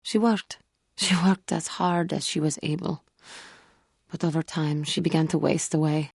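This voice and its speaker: Casting: female alien Jedi warrior for a game, earnest and wounded, low mellow voice with a husky grain, formal and measured. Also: fairly even intonation, no room sound, studio quality, heavily acted. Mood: grieving. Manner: formal, measured, grave phrasing with few contractions. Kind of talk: video game character dialogue.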